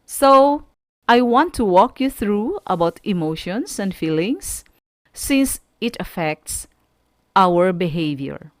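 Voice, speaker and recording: Slow, lively Filipino woman teacher. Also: mid-range voice, no echo. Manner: deliberate, instructive, asks listeners questions. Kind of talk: online radio school lesson, addressing students.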